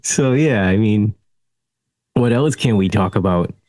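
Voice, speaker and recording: Host: man with a low voice, chatty storyteller, dry close recording. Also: measured pace, darkish tone, no echo. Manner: chatty, laughs through stories. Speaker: podcast host. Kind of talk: casual interview conversation.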